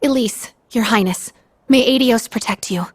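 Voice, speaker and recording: An actress playing a caring, spirited young heroine in a video game, sincere and expressive. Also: female voice, fairly high pitch, normal speed, natural voice. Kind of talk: video game cutscene acting.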